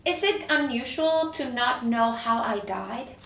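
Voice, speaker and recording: A woman's voice: fairly high pitch, animated, measured pace, a bit bright, a little hiss or hum. A woman playing a sceptical, questioning newly dead woman at a script table read. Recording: echoey room, distant mic.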